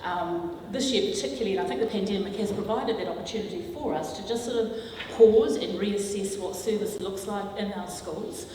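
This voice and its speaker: A woman with a New Zealand accent introducing conference speakers in a measured, slightly halting way. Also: mid-range voice, warm tone, slight background noise. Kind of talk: formal introduction. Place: large hall. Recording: echoey hall from far off.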